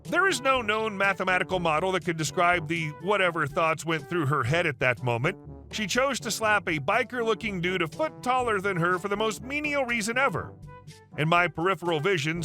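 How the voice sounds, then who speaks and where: Male story narrator, high bright voice, wry and animated, faint music under. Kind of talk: scripted narration of online stories.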